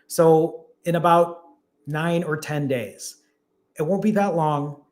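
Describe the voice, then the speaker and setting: A man hosts a live stream as a fasting coach. His voice sits a little high, and he chats casually and encourages his viewers directly as he answers their comments. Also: steady tone. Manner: talks straight to viewers, upbeat and encouraging.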